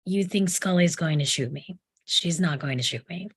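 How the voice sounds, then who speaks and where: Woman reading low, husky, skeptical male investigator. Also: moderate pace. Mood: defensive. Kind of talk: acted table read of a TV script.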